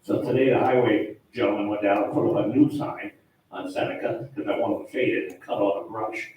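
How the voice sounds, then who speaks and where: Loud rambling man, town board official, echoey hall, distant mic. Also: fairly low pitch, fairly even intonation, brisk, a little hiss or hum. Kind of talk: public meeting talk. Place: town hall meeting room.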